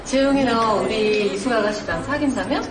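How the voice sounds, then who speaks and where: An actress playing a blunt, sarcastic wife who mocks the men's drinking. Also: female voice, fairly high pitch, normal speed, background noise, lightly acted. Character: sharp-tongued wife mocking the men.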